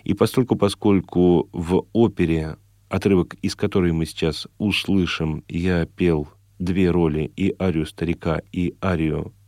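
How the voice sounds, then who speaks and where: A man with a very low, mellow voice answers interview questions at length. His wording is formal and measured, explanatory in style. The sound is dry and close. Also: steady tone, no echo. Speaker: guest, classical singer and cultural organizer. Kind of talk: interview conversation, reflective and explanatory.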